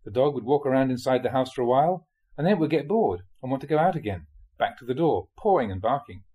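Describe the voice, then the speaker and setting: A male reader delivers a spiritual teaching in an explanatory, measured manner. His mid-pitched voice has a husky grain and moves expressively up and down as he sets out questions and explanations. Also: expressive, phone-quality sound. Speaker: monk reading dharma talks aloud. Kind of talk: reading a spiritual talk aloud.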